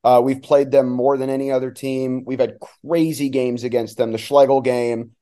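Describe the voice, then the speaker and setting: A man's voice: mid-range voice, little pitch movement, moderate pace, mellow. A man who works as a soccer announcer, chatting casually and enthusiastically in detail as a podcast guest. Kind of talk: casual sports analysis chat.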